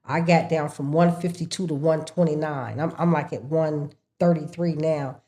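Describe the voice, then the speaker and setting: Woman in her sixties, slightly low voice, lively storytelling, laughs a lot. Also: normal speed. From United States. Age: 60s. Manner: animated storyteller, laughs often, acts out her family's voices. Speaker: podcast guest. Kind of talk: casual chat.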